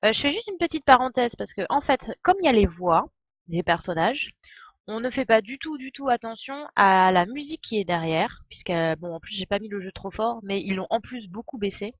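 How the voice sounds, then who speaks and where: A woman's voice: mid-range voice, expressive, a little fast, dry recording. A woman with a French accent chatting casually over a game stream, exasperated and self-deprecating. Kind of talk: casual gaming stream commentary. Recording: muffled stream audio, game music behind.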